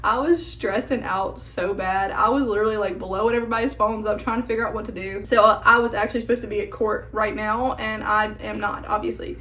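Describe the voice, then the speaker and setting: Vlogger woman with a hoarse, nearly lost voice, rambling casual chat, middle pitch. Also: fairly even intonation, fairly quick, hardly any pauses, fairly bright tone, reverberant, slight background noise. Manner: chatty, rambling, filler-heavy. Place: at home indoors.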